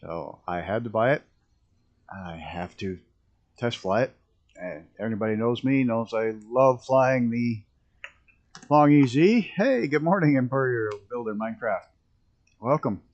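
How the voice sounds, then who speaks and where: A man with a rough early-morning voice, chatting casually and rambling as he streams a flight simulator. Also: medium pitch, animated, a little slow, pauses often, muffled recording. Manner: rambling, self-interrupting, chuckling at mishaps. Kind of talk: casual live-stream commentary.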